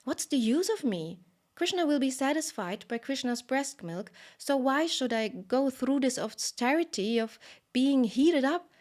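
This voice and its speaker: A woman's voice: high-pitched, lively intonation, measured pace, a little mellow, voice pushed up. A woman voicing a melodramatic pot of milk, speaking in a wailing, self-pitying way. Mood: despairing.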